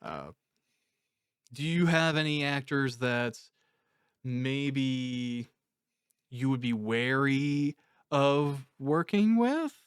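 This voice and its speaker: A man's voice: mid-range voice, expressive, drawn-out words, long pauses, clean tone, no room sound. Casual male interviewer, close dry sound. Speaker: interviewer, podcast host.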